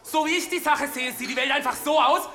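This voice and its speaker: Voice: sits high, a little fast, pushed and bright, faint music behind. An actor in a radio drama playing an agitated, sarcastic colleague who bickers in coarse slang and demands plain facts. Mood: scornful. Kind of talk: naturalistic fast-bickering radio drama acting.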